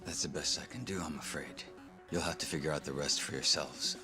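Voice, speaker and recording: A man's voice: a bass voice, brisk. An actor playing a polite, cool and mysterious male enforcer in a video game cutscene, composed and formal. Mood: calm, courteous. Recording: music under the voice. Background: music.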